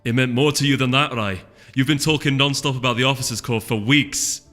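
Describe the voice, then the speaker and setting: A man's voice: medium pitch, a little fast, fairly bright tone, natural voice. Man voicing a bubbly Scottish pony girl, reverberant. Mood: concerned. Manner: eager, chattering, gushing. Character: bubbly, adventurous young female pony, history-obsessed and gushing. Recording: long reverb with music underneath.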